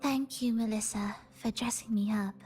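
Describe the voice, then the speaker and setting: A woman voicing a gentle, earnest young violinist in a video game, soft-spoken and measured. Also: a little high, steady tone, slightly rough. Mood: tender, resolved.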